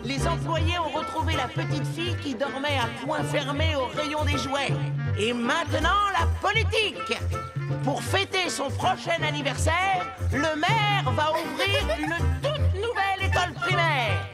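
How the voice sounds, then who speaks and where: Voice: high voice, measured pace, over loud music. An actor playing an exuberant, boastful young penguin in a children's cartoon, with an exclamatory, singsong delivery. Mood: proud announcing.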